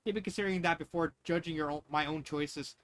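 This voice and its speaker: A man's voice: fairly high pitch, a little fast, a bit bright, lightly acted. Man reading as a loving, protective royal wife. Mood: annoyed. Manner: affectionate, firm when crossed.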